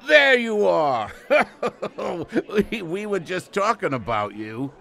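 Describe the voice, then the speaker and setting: Slow, smarmy two-timing boyfriend. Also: male voice, sits high, big pitch swings, gravelly, bright, forceful tone, exaggerated voice. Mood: flustered, placating. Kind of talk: radio drama acting.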